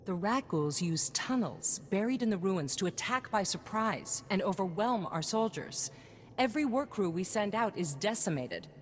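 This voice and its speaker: An actress playing a stern, driven governor in a video game, speaking in firm, commanding speeches. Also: female voice, medium pitch, expressive, moderate pace, a little hiss or hum, lightly acted. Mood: resolute. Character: stern, driven woman governor of a reconstruction project. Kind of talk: scripted video game acting. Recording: long reverb, music underneath.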